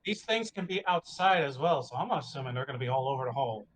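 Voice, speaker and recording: Quick-talking man over background noise. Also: medium pitch, expressive, low-quality recording. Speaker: tabletop game player. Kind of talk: casual chat.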